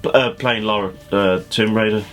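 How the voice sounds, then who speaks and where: A man's voice: fairly low pitch, fairly even intonation, moderate pace, a bit bright, faint music behind. A man co-hosting a show with casual banter and an incredulous manner. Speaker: show co-host.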